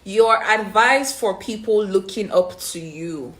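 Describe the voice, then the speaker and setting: A woman in her twenties with a Nigerian accent, reading out fan questions and answering them in a relaxed, rambling way with plenty of ums. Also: mid-range voice, slow pace. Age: 20s. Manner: reads fan questions aloud then answers chattily with many ums. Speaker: reality show contestant answering fan questions. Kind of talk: casual live-stream Q&A. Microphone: phone on a live stream.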